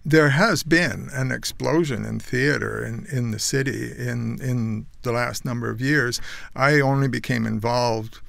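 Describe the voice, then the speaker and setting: A man's voice: mid-range voice, a little slow, gravelly, few pauses, a bit bright, no room sound. A man in his sixties, raised in Canada, telling his life story in a casual interview, rambling with restarts and wry asides, on a studio mic. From Netherlands, raised in Canada. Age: 60s. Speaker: guest actor and painter. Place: small studio with purple curtain. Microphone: boom studio mic.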